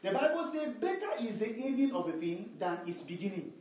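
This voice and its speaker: A woman's voice: fairly high pitch, big pitch swings, fairly quick. A woman preaching a sermon, repeating emphatic refrains and calling out to the congregation. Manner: repetitive call-and-response preaching, emphatic refrains. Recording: echoey hall, distant mic, dull sound.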